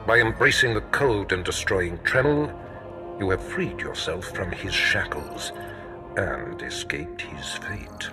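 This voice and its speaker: An actor playing a haughty, menacing Sith master in a video game, measured and commanding, as if lecturing a pupil. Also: male voice, deep voice, lively intonation, music in the background, full character voice. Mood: solemn, fervent. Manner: measured, imperious, lecturing delivery. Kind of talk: video game cutscene voice acting.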